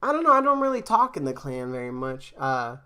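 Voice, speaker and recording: A man's voice: mid-range voice, big pitch swings, fairly quick, sharp tone, smooth. A man casually chatting with viewers on a game stream, rambling with fillers and restarts, a bit congested from a cold. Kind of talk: casual live-stream chat.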